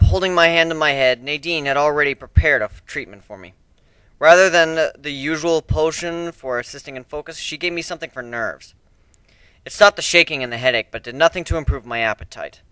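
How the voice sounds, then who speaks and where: Guy reading a fantasy story out loud dramatically, clear forceful voice, no room echo. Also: fairly high pitch, fairly quick. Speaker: amateur dramatic story reader. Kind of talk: dramatic scripted first-person story narration.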